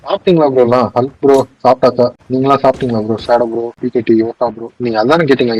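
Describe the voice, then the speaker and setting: A man's voice: fairly even intonation, very fast. A man chatting casually with his squad while gaming, calling out short, repeated instructions. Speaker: gamer playing with squadmates. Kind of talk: casual squad chat during a shooter game. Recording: very noisy game voice chat, vehicle rumble. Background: loud game ambience and vehicle noise.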